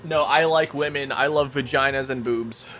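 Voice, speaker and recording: A man's voice: mid-range voice, fairly even intonation, moderate pace, pushed and bright, slight background noise. A man streaming a casual chat, rambling to his viewers while making coffee. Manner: chatty, rambling, talks to chat.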